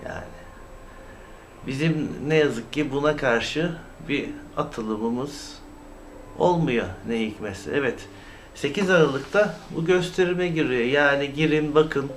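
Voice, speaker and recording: A man giving informal, opinionated YouTube commentary in a halting, indignant, emphatic manner with rhetorical questions. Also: mid-range voice. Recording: clear background noise, amateur recording.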